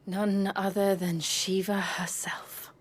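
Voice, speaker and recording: An actress playing a solemn, perceptive heroine with ice powers in a fantasy video game, speaking in a measured, grave way. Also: female voice, medium pitch, level tone. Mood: solemn realization. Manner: measured, grave, deliberate with pauses. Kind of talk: dramatic video game voice acting.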